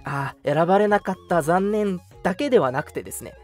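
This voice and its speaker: A man's voice: high voice, lively intonation, a little fast. Courteous young male radio host over music. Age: young adult. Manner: courteous, earnest, conversational. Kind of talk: polite online radio announcements.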